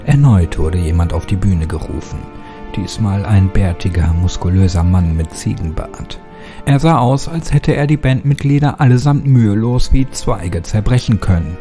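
Low, dark-voiced man narrating horror. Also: animated, moderate pace. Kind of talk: scripted dramatic narration. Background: music.

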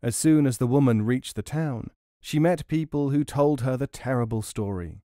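A man reading traditional tales as an audiobook narrator, in a measured, expressive storytelling manner. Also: medium pitch, no echo, studio quality.

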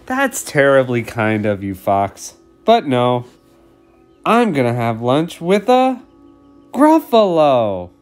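A man's voice: mid-range voice, wildly swooping pitch, slow pace, faint music behind. A man voicing a small, clever mouse in a storybook read-aloud, speaking boldly and drawing out key words.

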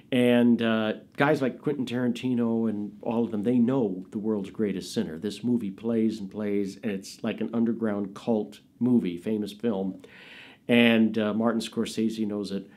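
A man in his fifties telling family stories in a casual, animated way, recorded in a small studio. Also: lowish voice. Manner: animated, expressive storyteller. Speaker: interviewee. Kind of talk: casual storytelling.

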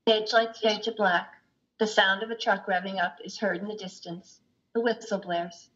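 A woman's voice: medium pitch, fairly even intonation, moderate pace, fairly bright tone. A middle-aged woman reading stage directions as narrator of a play, recorded over a video call with muffled sound. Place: room at home, indoors.

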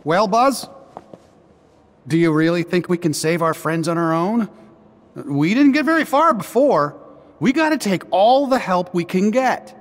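A man voicing an earnest, friendly cowboy toy sheriff in a video game, with a folksy, expressive, excitable manner. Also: high voice, unhurried, in a large hall. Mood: earnest persuading. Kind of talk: animated video game acting.

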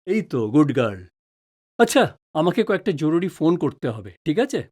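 A man's voice: fairly high pitch, big pitch swings, moderate pace, darkish tone. An actor playing a patient, reassuring middle-aged father in an audio drama, speaking in an avuncular, advising way. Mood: calm, advising.

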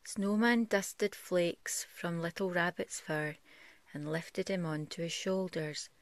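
Mother-type storyteller: woman, slightly low voice, gentle, slow picture-book reading. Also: fairly even intonation, no echo. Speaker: parent reading a bedtime story. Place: bedroom, on a bed at home.

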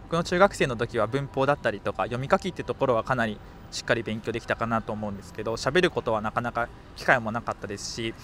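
A man's voice: mid-range voice, fast talker, few pauses, a bit bright. A young man in his twenties, a student, speaking in an articulate, composed way. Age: 20s. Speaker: student interviewee. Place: outdoors by a campus gate. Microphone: handheld mic. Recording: faint street noise.

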